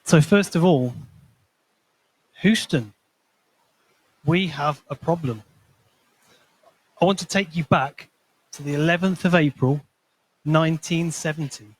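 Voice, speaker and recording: A man's voice: a little high, slow pace, long pauses, breathy edge, no room sound, low-quality recording. A man presenting to a conference audience, explaining with a bit of storytelling and light humor. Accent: not Scottish. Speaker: conference speaker, consultancy manager.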